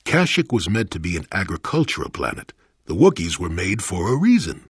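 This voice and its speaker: A male actor playing a crusty, wry old hermit and former Jedi in a video game, with a gravelly, husky voice and a grumbling storyteller's manner. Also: deep voice, big pitch swings, a little fast, no room sound, clean studio sound, exaggerated voice. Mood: teasing, curmudgeonly. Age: older. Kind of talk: video game character dialogue.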